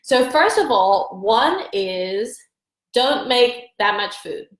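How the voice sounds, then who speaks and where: A woman's voice: on the high side, lively intonation, takes it slowly, roomy sound. A woman with a congested, stuffy voice, giving chatty, upbeat meal prep advice as host of a live stream. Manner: upbeat, chatty, greets viewers by name. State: congested from allergies. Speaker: nutrition coach hosting a live stream. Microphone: phone or webcam for a live stream.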